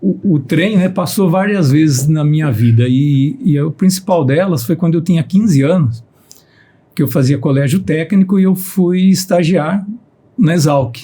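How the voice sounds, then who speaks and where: A man's voice: fairly high pitch, moderate pace, warm tone, husky. A man in his fifties with a Piracicaba countryside accent, a guest scientist on a podcast, speaking steadily and often repeating words as he starts a sentence. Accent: Piracicaba countryside Brazilian Portuguese. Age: 50s. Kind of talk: podcast conversation.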